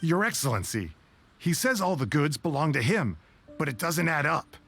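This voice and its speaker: A man's voice: fairly high pitch, very animated, normal speed, creaky, fairly bright tone, soft background music, exaggerated voice. An actor playing an earnest soldier reporting to his leader in a game, respectful and a little hesitant. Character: male soldier, earnest and suspicious.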